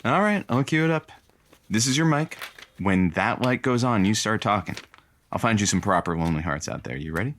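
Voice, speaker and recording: A cocky, wisecracking radio producer. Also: male voice, on the low side, expressive, brisk, fairly bright tone, a little hiss or hum. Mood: brisk. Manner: flippant, wisecracking. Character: cocky, teasing small-town radio producer, male. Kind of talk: audio comedy drama acting.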